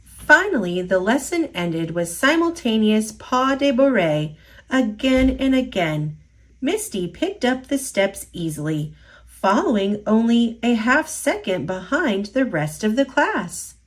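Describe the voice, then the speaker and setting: Woman reading a kids picture book, slow and careful, pitch swoops all over. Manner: expressive, slow storytelling voice. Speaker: storyteller. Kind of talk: children's picture book read-aloud.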